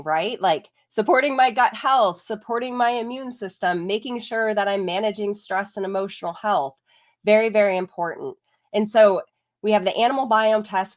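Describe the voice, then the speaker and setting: A woman veterinarian giving a chatty live talk while congested from a chest cold, explaining things with lots of "like" and "right?". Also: mid-range voice. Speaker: holistic veterinarian. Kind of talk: live-streamed educational talk.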